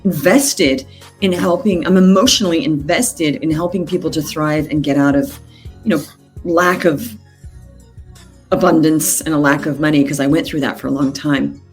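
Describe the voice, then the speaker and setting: A woman's voice: low-pitched, steady tone, moderate pace, soft background music. A woman in her fifties from the United States and Australia, telling her story conversationally as a business coach being interviewed. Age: 50s. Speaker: business coach, podcast guest.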